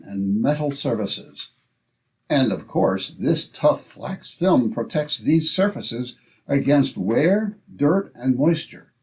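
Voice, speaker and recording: A man's voice: medium pitch, darkish tone. A man announcing in old-time radio style, with polished, salesmanlike phrasing.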